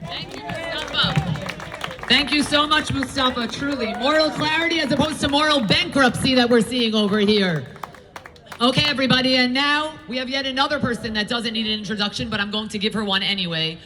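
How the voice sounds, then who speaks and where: A woman's voice: high-pitched, brisk, pushed and bright, big-room sound, soft background music. A woman emceeing an outdoor rally, enthusiastically introducing each speaker.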